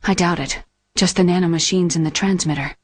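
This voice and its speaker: An actress playing a concerned, analytical female adviser in a dramatic video game, speaking in a husky voice. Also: fairly low pitch, a little fast, lightly acted. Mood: puzzled, sober.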